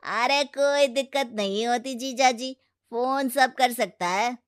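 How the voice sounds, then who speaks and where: Woman acting a high-voiced, cocky student boy. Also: slow, clean tone. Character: cocky, phone-obsessed young male student, excitable when thwarted. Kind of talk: cartoon moral story acting.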